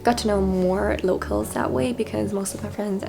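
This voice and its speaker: A woman's voice: medium pitch, fairly even intonation, moderate pace, gravelly. A young woman talking candidly in a casual vlog, reflective and self-deprecating, often laughing at herself. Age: young adult. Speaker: vlogger.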